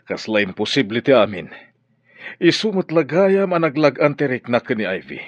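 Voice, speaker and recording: A supportive husband and father in an Ilocano radio drama. He has an Ilocano accent and a mid-pitched voice, recorded dry and a little dull. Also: very animated, normal speed, no echo. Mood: earnest.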